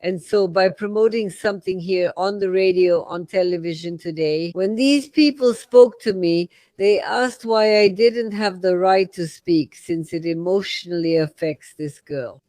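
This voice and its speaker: High-voiced middle-aged radio host. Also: male voice, unhurried, hardly any pauses, darkish tone, clear, resonant tone, no echo. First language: Russian. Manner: retells scenes acting out both sides of the dialogue. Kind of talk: animated talk-radio storytelling.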